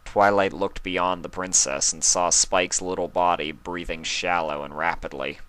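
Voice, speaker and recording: A man narrating a fan fiction story in a calm, steady storytelling manner. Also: low voice, a little slow, clear, resonant tone, no room sound, low-quality recording. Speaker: reader.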